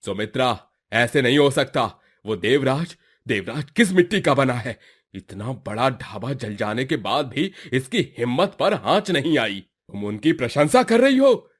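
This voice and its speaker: A man's voice: mid-range voice, sing-song, a little slow. A man voicing a jealous, hot-tempered villainous husband in a moral story, sneering and emphatic. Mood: angry.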